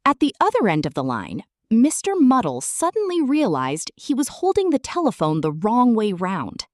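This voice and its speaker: A woman's voice: a little high, wildly swooping pitch, normal speed, no room sound, studio quality. A woman storyteller reading a children's book aloud theatrically, addressing the listener directly.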